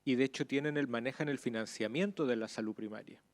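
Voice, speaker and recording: A Chilean man with a medium-pitched voice explains his view in a seminar. He speaks off the cuff and haltingly, circling back over words. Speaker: participant in a health seminar. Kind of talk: spontaneous explanation in a class discussion.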